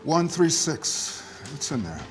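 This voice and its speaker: Steady agent, swooping pitch. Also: male voice, slow. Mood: fond, steady. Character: steady, warm-hearted male agent and mechanic. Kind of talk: script table read. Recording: loud music under the voice.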